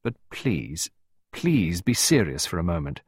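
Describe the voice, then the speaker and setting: A man's voice: deep voice, big pitch swings, measured pace, slightly rough, dry recording, studio quality, full character voice. A man voicing a polite, earnest male suitor in an audiobook, rueful and lightly ironic. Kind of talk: audiobook character voice.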